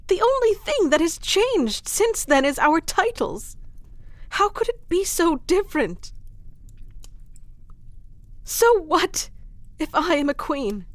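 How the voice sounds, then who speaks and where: A woman's voice: extremely high pitch, dry recording, full character voice. A woman playing a proud, wounded fantasy queen in an audio roleplay, speaking with earnest, emotionally charged deliberation. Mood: insistent. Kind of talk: dramatic audio roleplay acting.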